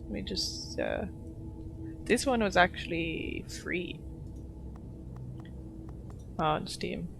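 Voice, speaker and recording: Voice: mid-range voice, wildly swooping pitch, very slow, gravelly, long pauses, background noise. A game streamer giving casual, off-the-cuff live commentary.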